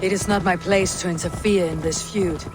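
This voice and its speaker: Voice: medium pitch, fairly even intonation, normal speed, over music, close to an everyday voice. An actor playing a weary, blunt Viking warrior in a video game, terse and disapproving. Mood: stern disapproval. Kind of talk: video game cutscene acting.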